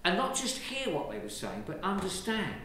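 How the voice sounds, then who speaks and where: An Englishman, a priest, giving a lively, conversational sermon from the pulpit of a large church, filmed from a distance. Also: sits high, moderate pace. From England. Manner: lively, conversational preaching with gestures. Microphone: far camera. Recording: very echoey church from far off, faint hiss.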